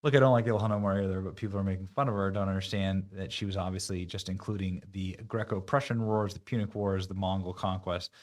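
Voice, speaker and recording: A man's voice: low voice, steady tone, fast talker, hardly any pauses. A man hosting online political commentary, talking in a mocking, sarcastic and emphatic way.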